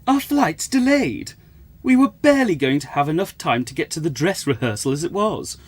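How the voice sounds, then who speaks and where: A woman's voice: deep voice, big pitch swings, moderate pace, husky, full character voice. A woman playing a pompous, theatrical stage actor in a radio comedy. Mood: dismayed.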